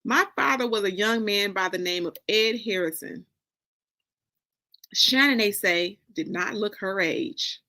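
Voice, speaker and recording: An American woman from Detroit giving a dramatic first-person story reading, with deliberate, expressive phrasing and pauses. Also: mid-range voice. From Detroit, United States. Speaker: author reading from a novel.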